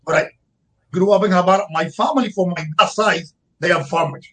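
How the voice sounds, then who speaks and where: A Cuban man in his forties speaks English with a Cuban Spanish accent in a casual interview. His voice sits high for a man and has a husky edge. He talks haltingly, doubling words as he hunts for phrases in his second language. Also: fairly bright tone. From Cuba. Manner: halting, repeats words while searching for English. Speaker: guest.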